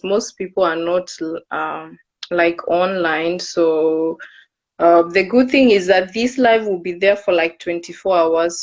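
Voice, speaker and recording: A young woman speaks slowly and hesitantly, hosting a relaxed livestream chat. Her voice comes through dull and lo-fi, as over a video call. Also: on the low side. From Kenya. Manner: unhurried, hesitant with frequent fillers. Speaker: host of a live video.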